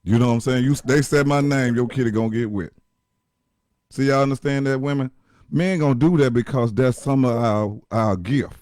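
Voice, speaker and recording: A man with a deep voice gives blunt, profane relationship advice in casual chat. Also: moderate pace, darkish tone, no echo, clean studio sound.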